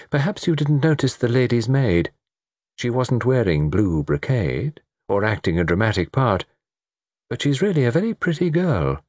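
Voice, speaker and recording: A man voicing a calm, enigmatic gentleman in an audiobook, speaking in a dreamy, measured, knowing way. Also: mid-range voice, dry recording, studio quality, pitched above the performer's own voice. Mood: gently reassuring. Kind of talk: audiobook character dialogue.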